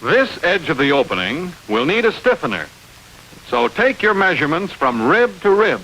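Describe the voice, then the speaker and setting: Bright-voiced man narrating, hissy old film. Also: a little high, sing-song, moderate pace, rough recording. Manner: clear step-by-step instruction. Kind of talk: scripted instructional film narration.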